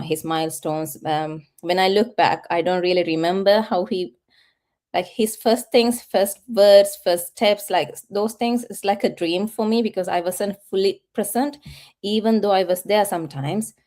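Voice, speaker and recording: A woman in her thirties with a Sri Lankan accent, giving a personal testimonial as an interview guest in a halting, self-correcting way. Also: lowish voice, a little mellow. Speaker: guest, online business owner.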